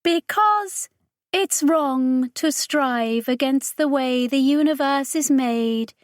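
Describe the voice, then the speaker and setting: Woman voicing a high, slow, grandiose alien crime lord. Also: dry recording, clean studio sound. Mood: solemn, defiant. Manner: grandiose, chanting, measured oratory in formal verse-like phrases. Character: solemn alien crime lord with eye stalks, speaking through a majordomo.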